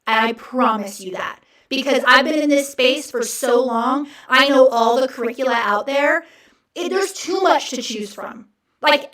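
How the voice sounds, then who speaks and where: A woman's voice: high voice, fairly bright tone, roomy sound, distant mic. Chatty, encouraging woman host. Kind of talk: casual livestream chat.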